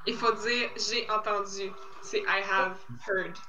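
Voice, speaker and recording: A woman who is a native French speaker, teaching an online lesson and asking long, quick questions. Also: mid-range voice, fairly even intonation, pushed and bright, a little hiss or hum, rough recording. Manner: asks long, fast questions. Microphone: video call.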